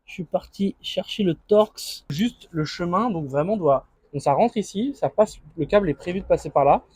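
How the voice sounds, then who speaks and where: Higher-pitched man, casual vlogger, close dry sound. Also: lively intonation, moderate pace, darkish tone, slightly rough, dry recording. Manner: informal, improvised, thinking aloud. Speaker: motorcycle vlogger. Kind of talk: casual unboxing and installation commentary.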